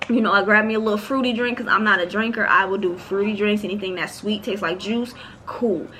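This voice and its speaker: Woman in her late twenties, casual storytime chat, expressive, talks to viewers, bright tone. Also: medium pitch, a little fast, a little hiss or hum, low-quality recording. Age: late 20s. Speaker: podcast host.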